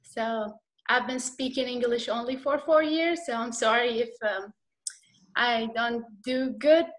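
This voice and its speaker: A woman's voice: fairly high pitch, measured pace, rough recording. A woman with an Iraqi accent telling her personal story as a guest on a video call, hesitant and slightly nervous, with many fillers. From Iraq.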